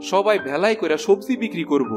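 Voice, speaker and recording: High-voiced eager Bangladeshi village fellow. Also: male voice, animated, fast talker, over music. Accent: rural Bangladeshi. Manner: folksy, eager. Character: earnest, good-natured young village husband with schemes. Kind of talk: Bengali cartoon fairy-tale acting.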